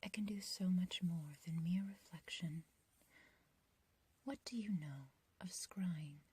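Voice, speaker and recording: A woman playing an ancient, beautiful witch in an ASMR roleplay, obsessively loving and menacing, speaking in a breathy whisper with archaic, theatrical phrasing. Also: on the low side, mellow, dry recording, full character voice. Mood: possessive, coaxing. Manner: slow, theatrical, archaic wording, doting yet condescending.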